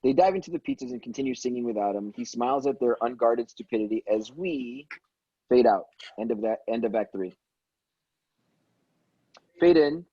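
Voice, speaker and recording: Mellow man reading stage directions. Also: medium pitch, sing-song, moderate pace, pauses often, slightly rough, no room sound, lo-fi sound. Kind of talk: scripted narration at a table read.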